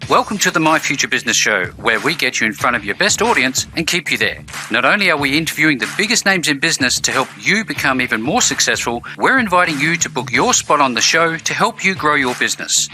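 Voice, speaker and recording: A man's voice: a little high, very animated, fast talker, hardly any pauses, sharp tone. A man hosting a business interview show in an upbeat, conversational style.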